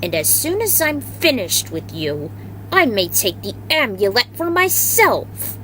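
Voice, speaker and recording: A woman voicing a malevolent, gloating male dark god with a grandiose, deliberate delivery. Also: fairly high pitch, very animated, slight background noise, low-quality recording.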